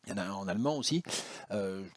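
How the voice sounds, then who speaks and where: A man's voice: sits low, lively intonation, quick pace, dry recording. A man talking casually about borrowed books, with hesitant speech full of fillers and restarts. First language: French. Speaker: university academic, book vlogger. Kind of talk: casual spoken book vlog.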